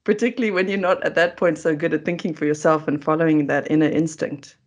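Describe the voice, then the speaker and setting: A woman's voice: low voice, steady tone, fast talker. A middle-aged South African woman speaks as a guest on a remote video call. Her manner is chatty and self-deprecating, in casual conversational storytelling. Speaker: interview guest, food coach.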